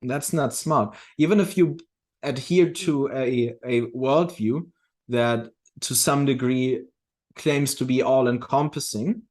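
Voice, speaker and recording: A man with a mid-pitched voice talks in a casual, conversational way. He is reflective and halting, often doubling back to restart a phrase before he finishes the thought. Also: a little mellow. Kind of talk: casual discussion.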